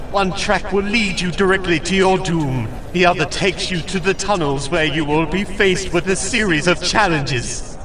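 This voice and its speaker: A man's voice: high-pitched, background noise, pitched above the performer's own voice. A man voicing a showy goblin game show announcer in a storybook, theatrical and proclaiming. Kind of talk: audiobook character voice.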